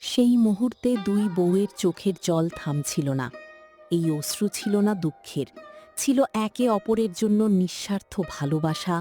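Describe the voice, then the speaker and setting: A woman narrator telling a scripted cartoon story in a dramatic, expressive way. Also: medium pitch, moderate pace, faint music behind.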